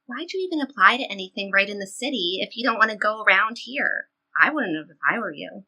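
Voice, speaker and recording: A woman's voice: medium pitch, expressive, quick pace, bright, forceful tone, exaggerated voice. A woman playing a loyal, upbeat seventeen-year-old girl in an audio play, reassuring and playful in everyday dialogue. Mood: wry, upbeat. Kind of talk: audio play reading, conversational teen dialogue.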